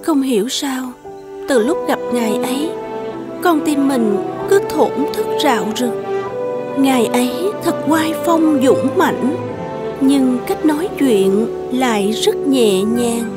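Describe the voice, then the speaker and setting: A woman voicing a gentle, modest young beauty in an animated fairy tale, demure and polite. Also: high voice, slow pace. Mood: lovestruck. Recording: music playing behind the voice.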